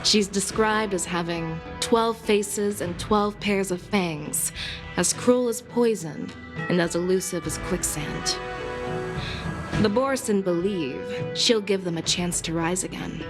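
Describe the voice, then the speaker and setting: A woman's voice: fairly low pitch, takes it slowly. A woman voicing a confident, candid female general in a video game, assured, formal and articulate. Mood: serious. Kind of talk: scripted video game dialogue. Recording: game music behind the voice.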